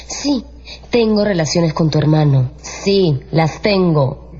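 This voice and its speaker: An actress playing a proud, scornful seductress in a radio drama, haughty and theatrical. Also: female voice, low-pitched, expressive, slow, warm tone, breathy edge, full character voice. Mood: scornful defiant. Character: proud, scornful seductress, cruel to her lovers. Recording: dull radio production with music.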